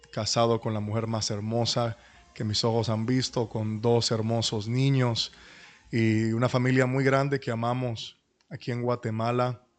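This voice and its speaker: Man, middle-aged pastor, medium pitch, humble, courteous, deliberate, introductory teaching talk. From Dominican Republic and New York. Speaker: pastor and conference speaker. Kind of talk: introductory talk at an online church leadership class. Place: online video call.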